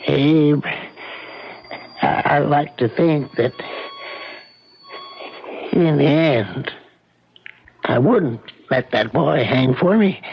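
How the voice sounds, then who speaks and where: An actor playing a weary, bitter old small-town banker with a gravelly, halting voice in an old-time radio drama. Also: male voice, fairly high pitch, exaggerated voice. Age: older. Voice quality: gravelly, husky. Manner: halting, drawn-out delivery. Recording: dull, muffled radio recording.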